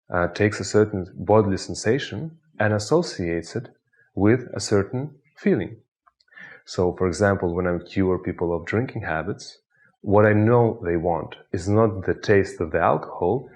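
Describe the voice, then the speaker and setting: A man with a Russian accent, a hypnotist guiding the listener through an anchoring exercise with slow, soothing, repetitive suggestions. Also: low voice, a little mellow. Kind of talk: guided hypnosis instruction.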